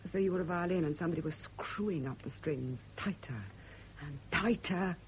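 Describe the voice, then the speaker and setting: A woman's voice: on the low side, fairly quick, darkish tone, lightly acted. An actress playing an embittered, sleepless, guilt-ridden woman in a classic radio drama, speaking with tense emotional intensity. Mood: overwrought. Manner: intense, emotional, self-justifying. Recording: muffled, noisy old radio recording.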